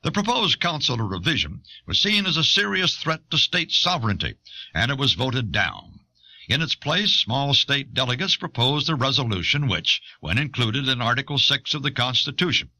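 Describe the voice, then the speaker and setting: An American man reading scripted documentary audiobook narration in a measured, formal, emphatic way. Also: medium pitch, very animated, pushed and bright, dry recording, poor audio.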